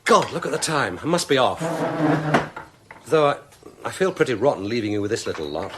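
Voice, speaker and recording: An actor playing a sceptical but loving young British husband in a radio drama, exasperated and dryly sardonic. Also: male voice, mid-range voice, sing-song, brisk, heavy background noise, lightly acted. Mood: wry, grumbling. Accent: British English.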